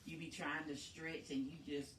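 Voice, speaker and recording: A woman's voice: deep voice, little pitch movement, normal speed, reverberant, distant mic, very noisy. A woman co-hosting a casual podcast, talking in an encouraging, rambling way with lots of fillers. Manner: encouraging, rambling, many "you know" fillers. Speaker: podcast co-host.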